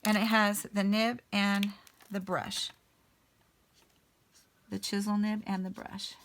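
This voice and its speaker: Slow, gravelly-voiced woman coloring. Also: medium pitch, little pitch movement, a bit bright, slight background noise. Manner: unhurried, thinking aloud with many ums. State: raspy, getting over an illness. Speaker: artist and channel host. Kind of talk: casual color-along chat.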